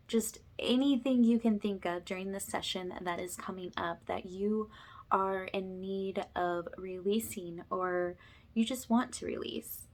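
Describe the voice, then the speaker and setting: Soft-spoken woman hosting spiritual ASMR. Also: medium pitch. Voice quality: soft, often slipping into whisper. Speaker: host, spiritual energy healer leading a session. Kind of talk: ASMR spiritual ritual, informal guided intention-setting.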